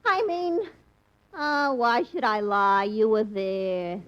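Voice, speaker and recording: A woman's voice: fairly high pitch, slow pace, a bit bright. A woman playing chatty wisecracking telephone operators in a 1950s radio comedy, with a brassy manner. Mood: gossipy. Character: two wisecracking female switchboard operators. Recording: lo-fi old radio broadcast with studio audience.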